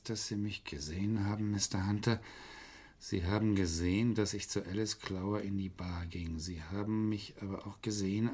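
An actor playing a probing, persuasive defence lawyer in a 1970s German radio crime drama, husky-voiced, with a measured and polite delivery. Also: male voice, fairly low pitch, darkish tone. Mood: reading aloud.

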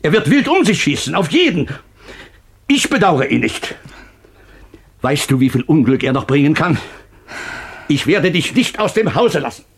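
An actor playing a stern, controlling man who speaks firmly and gravely in a radio crime drama. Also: fairly high pitch, sing-song, normal speed, long pauses, full character voice. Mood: grave, insistent. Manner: firm, grave, emphatic.

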